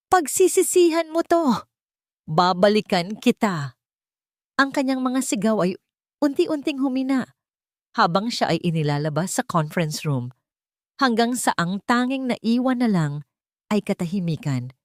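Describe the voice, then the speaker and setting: A woman's voice: medium pitch, no room sound, clean studio sound. A woman narrating a scripted story in a dramatic storytelling style.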